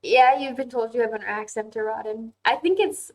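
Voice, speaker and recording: A woman's voice: fairly high pitch, moderate pace. A woman hosting a casual live online class, reading viewer comments and talking them through in a chatty, candid way. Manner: chatty and candid, with frequent um fillers and easy laughs.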